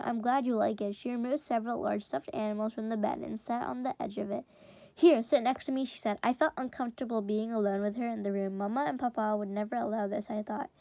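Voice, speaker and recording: A woman's voice: on the high side, darkish tone, no echo, dull audio. A woman reading a first-person story aloud in the manner of a classroom read-aloud, now and then stumbling and repeating words. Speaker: reader reading a book chapter aloud for students.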